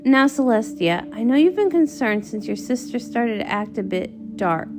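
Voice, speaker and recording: A woman's voice: on the high side, animated, normal speed, close to an everyday voice. A woman voicing a learned, ambitious old male unicorn wizard in a comic read-along, with a grand, reassuring manner.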